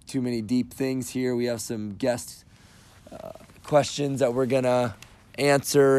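Raspy-voiced man hosting a podcast. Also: medium pitch, darkish tone, dry recording. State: raspy, worn voice. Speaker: podcast host. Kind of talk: casual podcast chat. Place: outdoors in a backyard by a bonfire.

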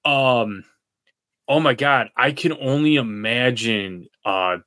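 A man's voice: medium pitch, slow, dry recording, studio quality. A man co-hosting a podcast, telling stories in a casual chat and acting out the quotes in them. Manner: animated storyteller, acts out quotes. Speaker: podcast co-host.

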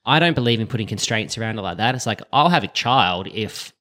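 A man's voice: fairly low pitch, animated, fast, bright, forceful tone. A man in his late thirties chatting casually as a co-host, blunt and joking, swearing freely. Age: late 30s. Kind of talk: casual chat.

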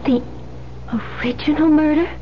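A woman's voice: high-pitched, steady tone, moderate pace, natural voice. Actress as a frightened young woman, dated noisy radio recording. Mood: wary. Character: frightened young bride under police guard, wary and protesting. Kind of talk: old-time radio drama acting. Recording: dull, noisy old radio broadcast recording.

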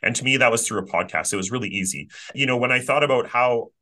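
A man's voice: mid-range voice, quick pace, pushed and bright. A man co-hosting a career podcast, upbeat and self-deprecating, who repeats words as he thinks aloud. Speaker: podcast co-host and career coach. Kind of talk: conversational podcast talk.

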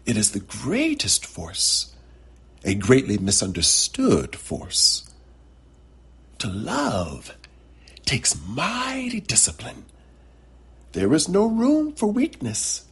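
A man voicing a wise, solemn old grandmother who tells mystical stories in slow, emphatic pronouncements. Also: mid-range voice, huge pitch swings, creaky, fairly bright tone, slightly rough, voice pushed up, heavily acted. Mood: solemn, impassioned.

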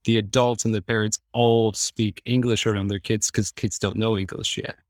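A man's voice: lowish voice, flat delivery, moderate pace, dry recording, studio quality. A man with a Midwestern American accent, chatting and telling personal stories as a podcast guest in an easygoing, joking way. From Iowa, American Midwest. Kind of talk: casual chat, personal storytelling.

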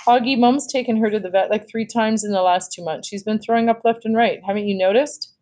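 A woman's voice: a little high, fairly even intonation, fairly quick, darkish tone, pitched above the performer's own voice, close to an everyday voice, poor audio. A woman voicing an emotional, protective older sister in a children's novel, casual and earnest as she explains. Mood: earnest, consoling.

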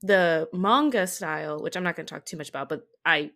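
A woman's voice: low-pitched, a little fast, a bit bright. A woman co-hosting a casual podcast chat, often chuckling as she talks. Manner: giggly, chuckles while talking. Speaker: podcast co-host discussing anime.

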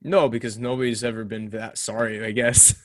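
A young man co-hosting a casual chat about a TV show, animated and quick to laugh. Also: on the low side, no echo. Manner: animated, laughs often, does mock voices in retelling. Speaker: podcast co-host. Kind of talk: casual chat reviewing a TV episode.

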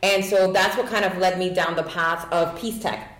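A woman's voice: lowish voice, steady tone, normal speed, a bit bright. Woman explaining with confidence, echoey room. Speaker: guest. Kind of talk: casual conversation.

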